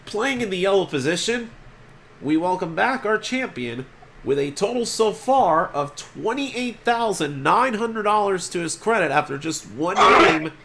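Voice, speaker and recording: Congested male game-show host, upbeat, chatty, fairly high voice, faint noise. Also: unhurried. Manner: energetic, chatty, throat-clearing. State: congested from allergies. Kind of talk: homemade game-show hosting.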